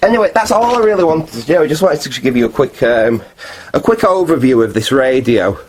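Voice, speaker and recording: A man giving a casual, unscripted, matter-of-fact video tour in a halting, rambling way. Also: medium pitch, big pitch swings. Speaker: vlogger, hobbyist restorer.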